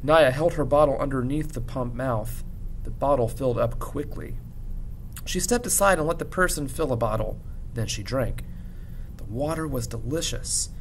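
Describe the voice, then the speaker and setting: A man narrates a children's story in a classroom read-aloud style. His voice sits at a middle pitch. A noticeable low rumble runs behind the recording. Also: normal speed, rough recording. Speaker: teacher reading a book aloud to students. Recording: clear background rumble noise.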